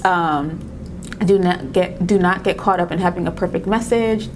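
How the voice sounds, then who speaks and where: Trinidadian-accented woman vlogger, lowish voice, encouraging and laughing often, steady rumble underneath. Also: normal speed. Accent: Trinidadian mixed with New York. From Trinidad and Tobago. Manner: warm-hearted, encouraging, often laughing, restarts words. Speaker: video creator giving tips. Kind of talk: casual talk to camera.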